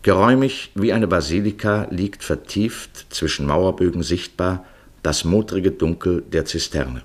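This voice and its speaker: A man narrating a literary radio play in a solemn, measured, poetic manner. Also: deep voice.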